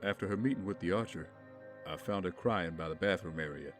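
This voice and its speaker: A man as a deep-voiced, somber confidant. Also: fairly quick, close to an everyday voice. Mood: worried, hopeful. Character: somber, troubled male confidant of a vigilante. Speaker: voice actor in an audio drama. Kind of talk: dramatic audio drama acting. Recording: faint music behind the voice.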